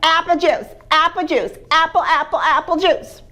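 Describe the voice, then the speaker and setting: A woman storyteller voicing a demanding baby boy who whines insistently in a high voice. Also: normal speed, pushed and bright. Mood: whiny. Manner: high, whiny, insistent chant.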